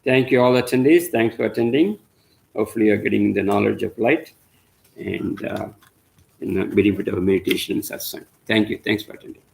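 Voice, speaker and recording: A man's voice: on the low side, a little fast, gravelly, darkish tone. Man teaching satsang. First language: Tamil. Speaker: spiritual teacher leading satsang. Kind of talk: informal spiritual talk over a video call.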